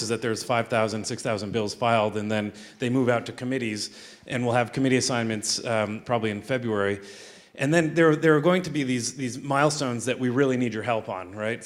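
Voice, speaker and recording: A man in a state legislator's role answering at a panel, earnest, with many ums and restarts. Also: mid-range voice.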